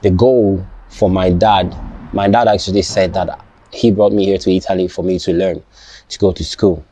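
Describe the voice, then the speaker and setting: A young man, not a native English speaker, talking casually to his viewers, halting and restarting often and checking "you understand?". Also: low-pitched, fairly even intonation, mellow, no room sound. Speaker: vlogger. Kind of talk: casual personal talk to camera.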